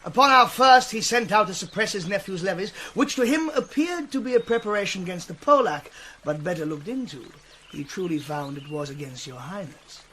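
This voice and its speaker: An actor playing a formal, dutiful ambassador, giving a declamatory report in a classical Shakespearean drama. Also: male voice, sits high, expressive, brisk, pushed and bright, a little hiss or hum, poor audio. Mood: formal, emphatic.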